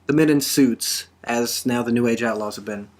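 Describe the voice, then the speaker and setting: A man's voice: medium pitch, normal speed. A man hosting a wrestling predictions podcast in a casual panel discussion.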